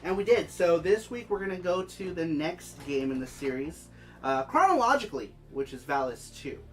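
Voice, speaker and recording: A gaming livestream host speaks casually at a middling pitch, with a nose stuffed up from a head cold. The delivery is chatty, moving between explanation and calling out viewer comments. Music plays behind the voice. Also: very animated, normal speed. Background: background music.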